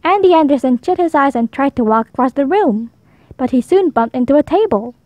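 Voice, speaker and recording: A woman's voice: sits high, lively intonation, brisk, mellow, no echo. A woman narrating a children's story as a read-aloud storybook reader.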